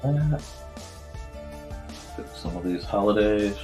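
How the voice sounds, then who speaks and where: A man with a slightly croaky, strained voice chatting casually and goofily, half to himself, as he hosts a drawing stream. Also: on the low side, animated, slow, dark tone, faint music behind. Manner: goofy, rambling, half talking to himself. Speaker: cartoonist hosting a live art show. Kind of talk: casual chat while drawing.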